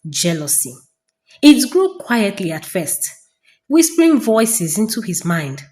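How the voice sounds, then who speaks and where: A woman narrating a folktale as a storyteller, in a dramatic, deliberate and suspenseful scripted style with pauses. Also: mid-range voice, very animated, a little mellow.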